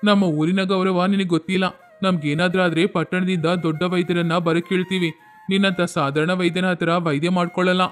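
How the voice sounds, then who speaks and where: Man acting a haughty high-pitched village elder. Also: little pitch movement, brisk, soft background music, lightly acted. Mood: haughty, dismissive. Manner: haughty, scolding. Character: proud, stern village elder, arrogant and dismissive.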